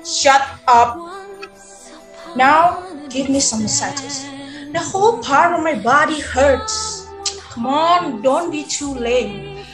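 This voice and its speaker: Young woman as a high-voiced, bossy cruel stepmother. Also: animated, takes it slowly. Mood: bossy, demanding. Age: young adult. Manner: scolding, imperious. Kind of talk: amateur readers theater performance. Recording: roomy home video call, music behind.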